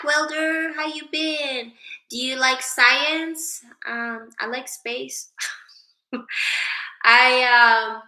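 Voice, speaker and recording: Woman livestream host, higher voice with a bright edge, unhurried and chatty. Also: echoey room, rough recording. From Sweden. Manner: chatty, reads viewer names aloud, drifts into spiritual musing. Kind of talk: casual livestream chat reading comments.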